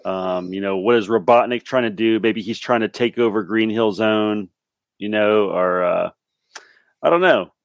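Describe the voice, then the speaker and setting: A man's voice: on the low side, moderate pace, dry recording. A man taking part in a casual podcast chat, thinking aloud in a hesitant, conversational way. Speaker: podcast guest guessing along.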